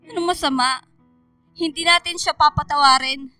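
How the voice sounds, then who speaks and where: An actress playing a bitter, hurt young daughter in a radio drama, with a Filipino accent and a confrontational manner. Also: female voice, sits high, steady tone, moderate pace, sharp tone, dry recording, soft background music. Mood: angry, defiant. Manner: confrontational, reproachful.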